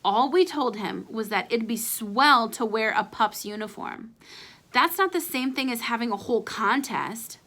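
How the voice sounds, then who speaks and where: A woman's voice: on the high side, animated, measured pace, a bit bright, full character voice. A woman voicing an exasperated, skeptical boy who argues back as the young hero of a children's storybook. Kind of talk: children's storybook read-aloud character.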